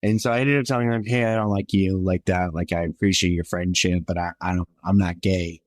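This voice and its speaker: A man's voice: fairly even intonation, fairly quick, dry recording. A man in his twenties, a podcast guest, telling his personal story in a rambling, earnest way full of fillers. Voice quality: somewhat high voice for a man.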